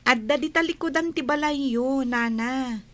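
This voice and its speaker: Woman as a high-voiced, gentle young village woman. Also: slow. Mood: friendly. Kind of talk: amateur village radio drama acting.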